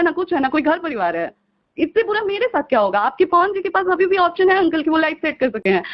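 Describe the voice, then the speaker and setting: An upset young woman on a phone call, arguing insistently and pleading, often repeating herself, in a heated conversation. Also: high-pitched. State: upset, aggrieved. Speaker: caller. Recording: muffled lo-fi phone call recording.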